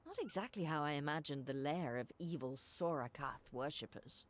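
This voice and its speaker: A woman's voice: low voice, normal speed, lightly acted. A woman playing a wry, sceptical adventurer in a fantasy video game. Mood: sarcastic. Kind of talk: dramatic video game dialogue.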